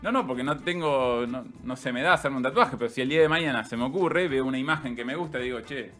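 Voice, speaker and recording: Argentine guy hosting a footy chat show, bright forceful voice, teasing, music faint behind. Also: medium pitch, fast. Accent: Argentine Spanish. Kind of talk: casual sports chat.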